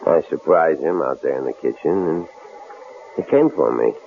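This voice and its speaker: A deep, gravelly, menacing bruiser. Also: male voice, lively intonation, moderate pace, full character voice. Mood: grim, matter-of-fact. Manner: leering, needling, gruffly familiar. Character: menacing, uncouth bruiser named Jake, a possible killer. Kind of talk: radio drama acting. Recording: lo-fi old radio recording, faint hiss.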